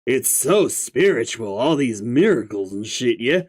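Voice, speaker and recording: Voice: medium pitch, big pitch swings, unhurried, a little mellow, slightly rough, pitched below the performer's own voice. A reader voicing a young male stoner clown troll with a slurred, clownish drawl who swears lazily and marvels at everything. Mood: lazy awe. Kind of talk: reading a webcomic aloud with voices.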